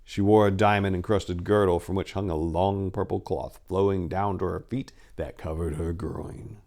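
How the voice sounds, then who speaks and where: Man, low voice, theatrical storyteller, dramatic reading, fantasy tale. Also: fairly even intonation, moderate pace, a little mellow. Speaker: story narrator.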